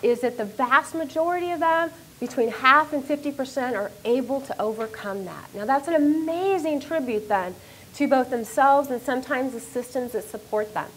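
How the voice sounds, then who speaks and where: A middle-aged woman, a university professor, giving an animated, self-deprecating lecture to an audience on a microphone in a lecture room. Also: high voice, slight background noise, rough recording. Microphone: handheld or stand microphone.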